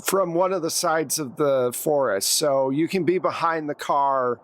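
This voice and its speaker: A man's voice: fairly high pitch, unhurried. A man acting as game master, narrating action and calling for rolls in a conversational way. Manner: conversational, narrates action in plain second person. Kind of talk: running a tabletop roleplaying game.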